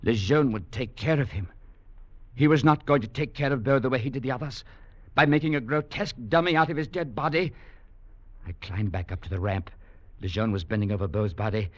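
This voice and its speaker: A man's voice: medium pitch, fairly quick, dry recording, lightly acted. An actor playing an earnest young legionnaire who tells his story as a grave, dramatic narrator in a 1940s radio drama. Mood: solemn, dramatic. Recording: dull 1940s radio recording with music.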